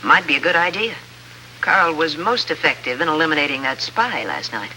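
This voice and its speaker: An actor playing a suspicious, coolly polite doctor in an old radio drama, with a measured, arch, formal manner. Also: male voice, fairly high pitch, expressive, pushed and bright, slight background noise, rough recording. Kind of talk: 1950s radio drama acting.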